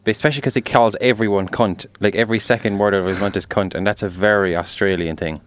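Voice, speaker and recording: A man's voice: fairly low pitch, steady tone, fast, a little hiss or hum. A man co-hosting a podcast, giving blunt opinions in casual chat and often trailing off into mumbles. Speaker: podcast co-host.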